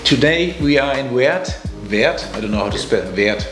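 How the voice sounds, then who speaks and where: Chatty German podcast host, echoey room. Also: male voice, medium pitch, animated, moderate pace. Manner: chatty, rambling questions with many restarts. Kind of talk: conversational interview. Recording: echoey room, faint music.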